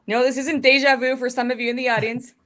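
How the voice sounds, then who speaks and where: Female panel host, higher-pitched, casual live hosting style. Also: little pitch movement, fast, fairly bright tone.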